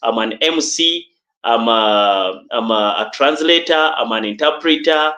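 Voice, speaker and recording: A man's voice: mid-range voice, lively intonation, a little slow, bright, forceful tone. A man with a Ugandan accent giving interview answers and personal stories in a formal, emphatic way with repeated phrases. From central Uganda. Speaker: coach and trainer, podcast guest. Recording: echoey room.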